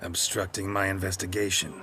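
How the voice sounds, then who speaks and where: Gruff, low-voiced deadpan investigator. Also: male voice, a little fast, a little hiss or hum. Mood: dry, businesslike. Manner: deadpan, terse. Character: gruff, dry, weary monster-hunter investigator, grown man. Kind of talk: video game voice acting.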